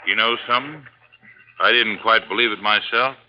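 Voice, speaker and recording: A man's voice: lowish voice, moderate pace, close to an everyday voice. A man playing a plain-spoken, skeptical lake boatman in 1950s radio drama style. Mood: wry, doubtful. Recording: muffled, noisy old radio recording.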